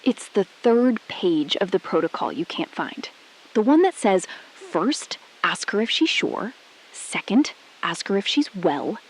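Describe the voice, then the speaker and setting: A woman playing a calm, resolute astronaut-scientist in an audio drama, speaking in precise, measured, clipped sentences. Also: medium pitch, slightly rough, low-quality recording. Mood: coolly defiant. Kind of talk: scripted audio drama acting.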